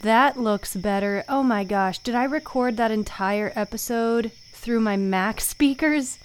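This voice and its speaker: A woman's voice: a little high, unhurried, clear, resonant tone. A woman hosting a podcast, talking casually and thinking aloud with an informal, self-mocking manner. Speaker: host and author of a fiction podcast. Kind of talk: casual podcast talk and recording outtakes.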